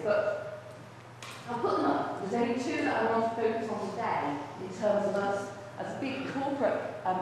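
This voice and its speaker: A woman, a college vice principal giving a brisk, businesslike presentation to a room without a microphone. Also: medium pitch. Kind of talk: presentation to a luncheon audience. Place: large echoey function room. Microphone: far room microphone. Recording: echoey hall from far off, faint noise.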